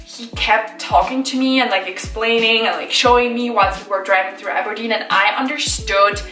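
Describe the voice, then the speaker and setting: A woman's voice: medium pitch, normal speed, pushed and bright. Chatty young woman in an echoey room. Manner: chatty, upbeat, lots of "like" and "so normal". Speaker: vlogger. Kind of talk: casual chat to camera. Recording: echoey room, slightly far from mic, light music.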